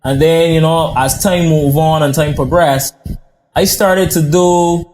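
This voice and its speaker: A man from Barbados, a guest chef telling stories in casual interview chat, animated and given to quick bursts of speech. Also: fairly high pitch.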